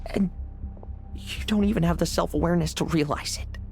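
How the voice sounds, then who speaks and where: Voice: mid-range voice, brisk, vocal fry, a little mellow, faint music behind, close to an everyday voice. A performer voicing a stern, resolute young knight in a video game, controlled and cutting in manner. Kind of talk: dramatic video game voice acting.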